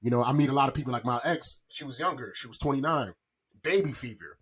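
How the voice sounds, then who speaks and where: A man's voice: mid-range voice, quick pace. A man in his forties chatting casually on a podcast, speaking candidly and loosely in slangy, rambling phrases. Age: 40s.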